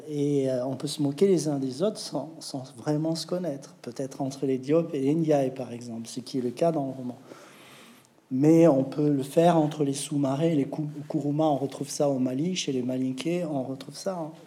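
A man who writes novels, answering interview questions in a reflective, hesitant way with many pauses. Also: medium pitch, fairly even intonation, dark tone.

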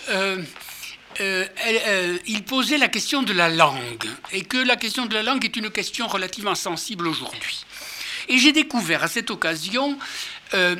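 A man's voice: high voice, sharp tone, slight background noise. An older man giving a lecture to an audience, hesitant with many fillers and restarts, and fond of rhetorical questions. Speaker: author and lecturer.

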